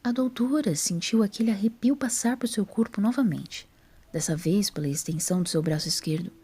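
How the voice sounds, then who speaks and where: Breathy, mellow Brazilian woman narrator. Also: mid-range voice, a little fast. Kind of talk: scripted story narration.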